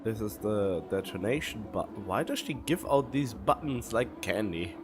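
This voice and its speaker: A man's voice: on the low side, animated, moderate pace. A man doing casual live commentary as a game streamer, blunt and musing aloud. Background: game music.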